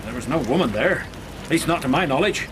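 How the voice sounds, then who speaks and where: An actor playing a chatty, respectful village constable in a radio drama, deferential and gossipy. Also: male voice, medium pitch, expressive, fairly quick, background noise, lightly acted. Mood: helpful, gossipy.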